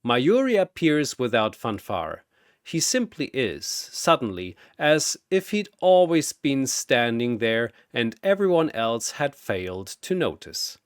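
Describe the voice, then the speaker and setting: Deliberate, animated male storyteller. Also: mid-range voice, clear, resonant tone, dry recording, clean studio sound. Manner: theatrical, deliberate. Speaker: narrator. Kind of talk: dramatic scripted story narration.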